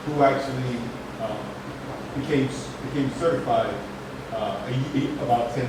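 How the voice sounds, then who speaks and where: A man, a physician, asking a panel a question, halting and repeating his words as he goes. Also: mid-range voice. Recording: echoey hall from far off, loud noise.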